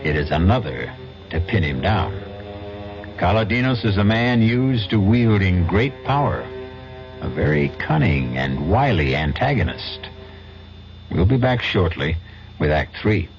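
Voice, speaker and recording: A man's voice: sits low, sing-song, measured pace. Male American mystery host, ominous scripted narration, very varied intonation, music behind, muffled radio. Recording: muffled old radio broadcast. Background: loud music.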